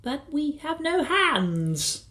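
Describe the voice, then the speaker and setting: Storybook small son: tiny excitable boy, squeaky high pitch, childlike chirp. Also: drawn-out words, heavily acted. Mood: puzzled. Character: tiny chirping excitable little boys with wings.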